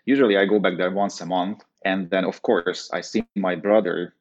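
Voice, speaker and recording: Deep male voice, Hungarian accent, explanatory interview answers, muffled lo-fi recording. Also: steady tone, normal speed. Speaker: podcast guest, engineering executive. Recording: dull, lo-fi interview audio.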